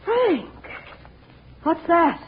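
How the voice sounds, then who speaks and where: A woman's voice: very high voice, very animated, drawn-out words, a little hiss or hum, full character voice. An actress playing an anxious, frightened mother in a 1940s radio drama, speaking with melodramatic dread. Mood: fearful, alarmed. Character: anxious, frightened mother searching a dark tomb. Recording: tinny, narrow old radio recording.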